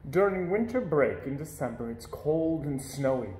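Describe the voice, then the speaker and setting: A man reading a children's story aloud in an expressive narrating style. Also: fairly high pitch, normal speed, a little hiss or hum. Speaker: storybook reader. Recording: echoey room.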